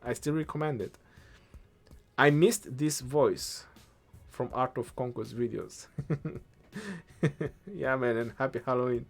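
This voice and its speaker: A man's voice: medium pitch, takes it slowly, long pauses. Laid-back man streamer with faint music. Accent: Eastern European. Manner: relaxed, thinking aloud with restarts. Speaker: game streamer. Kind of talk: casual live-stream Q&A reading chat.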